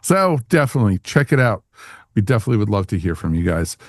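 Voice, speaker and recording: A man's voice: fairly low pitch, very animated, fast, no room sound, studio quality. A man hosting a podcast, chatting casually and informally with co-hosts and listeners. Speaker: podcast host. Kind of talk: casual podcast sign-off chat.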